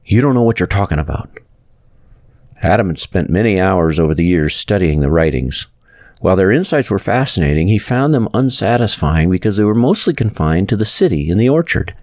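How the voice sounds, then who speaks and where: Low-voiced man narrating a novel. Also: lively intonation, fairly quick, darkish tone, no room sound, clean studio sound. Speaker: audiobook narrator, author.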